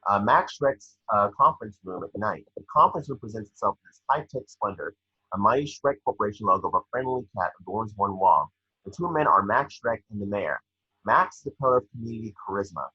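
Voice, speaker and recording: Raspy, low-voiced man reading screenplay narration. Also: expressive, fairly quick, sharp tone. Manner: stumbles over the script. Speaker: scene description reader. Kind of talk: screenplay narration at an online table read. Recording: echoey room, slightly dull microphone.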